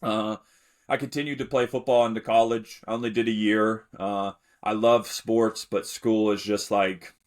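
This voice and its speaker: A young man from the talks with an easy, rambling flow. He often stops to restart a thought and fills the gaps with "like" and "um." His voice sits a little low and unhurried. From Alabama, United States. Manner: relaxed, rambling, many fillers and restarts. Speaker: podcast guest. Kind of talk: casual interview storytelling.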